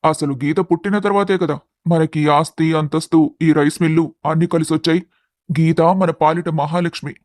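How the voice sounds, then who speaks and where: Man acting a fretful doting village father, higher-pitched. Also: fairly even intonation, moderate pace, no echo, studio quality, lightly acted. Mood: impatient, eager. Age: middle-aged. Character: doting, anxious village father, rice mill owner. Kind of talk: dramatised moral story acting.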